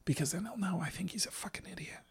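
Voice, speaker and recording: A man's voice: high-pitched, fast, crowd noise, pitched above the performer's own voice. A man voicing a weary, put-upon mom who whispers her complaints in a mock confiding, sarcastic way. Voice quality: hushed whisper.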